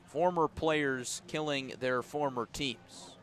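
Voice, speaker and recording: An American man doing live baseball play-by-play, measured and descriptive between pitches and emphatic on the action, speaking from a ballpark press box. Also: fairly bright tone. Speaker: baseball play-by-play radio announcer. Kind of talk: live sports commentary.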